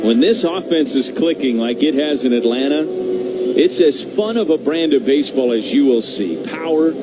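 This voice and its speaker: A man with a fairly ordinary-pitched voice gives live baseball play-by-play in a radio commentary style. There is clear background sound running behind his call. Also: expressive, normal speed, over loud music.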